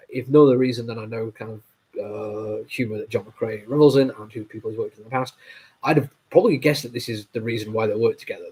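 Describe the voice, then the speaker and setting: A man with a heavy cold talks through a comic book review, his voice nasal and a little husky. He rambles casually, full of ums and apologies, at an ordinary middle pitch. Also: dark tone, rough recording. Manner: rambling, with many fillers and apologies. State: stuffy nose from a cold.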